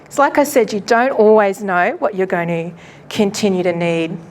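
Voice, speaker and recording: A woman pastor giving a live presentation to an audience at a launch event, loud and chatty, often laughing and poking fun at herself, her microphone cutting in and out. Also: mid-range voice, normal speed, slight background noise. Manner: loud, chatty and self-mocking; laughs often while talking. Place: event venue.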